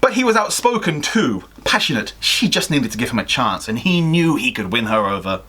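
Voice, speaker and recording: Young man, highly varied pitch, expressive amateur storyteller with dramatic emphasis. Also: a little fast, husky. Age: young adult. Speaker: guest reader on a livestream. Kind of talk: expressive fan fiction story reading with casual asides. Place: small home room with sloped ceiling.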